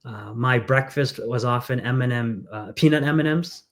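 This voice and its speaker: A man talking through an interview in an earnest, storytelling way, often pausing on "uh" as he goes. His voice sits at an ordinary middle pitch for a man. He grew up in England. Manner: earnest, storytelling, frequent fillers. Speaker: congressional candidate. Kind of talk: conversational interview.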